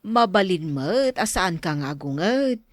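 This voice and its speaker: A woman's voice: on the low side, expressive, unhurried, no echo. A woman with an Ilocano accent playing a reproving mother who admonishes her grown children in a family drama. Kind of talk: radio-style family drama acting.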